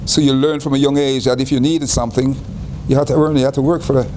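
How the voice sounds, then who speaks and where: An older man from Guyana recounts his early years at an unhurried pace, often circling back and repeating his words. His voice sits at an ordinary pitch for a man. A faint background hiss runs beneath the recording. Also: fairly even intonation. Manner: unhurried, repeats and restarts phrases. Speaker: interviewee. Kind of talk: reflective life-story interview.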